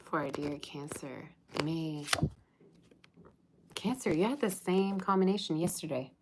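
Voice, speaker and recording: A woman's voice: low-pitched, measured pace, very noisy. A woman giving a livestream tarot reading, chatty and teasing, reading out viewer comments. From Russia. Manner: chatty, teasing, sings snatches of old songs. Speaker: tarot reader.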